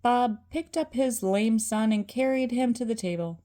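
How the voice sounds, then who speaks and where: An older woman, a grandmother, reading a children's story aloud in a deliberate narrating style. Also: on the high side, mellow.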